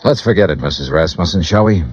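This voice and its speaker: Casting: terse American noir investigator, low voice with lively swings, deadpan and weary, vintage radio drama. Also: male voice, quick pace, slightly rough. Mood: bitter reproach. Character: hard-boiled, weary male insurance investigator. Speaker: lead actor, insurance investigator narrator. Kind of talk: 1950s American radio noir acting with narration. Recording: dull vintage radio recording, music behind.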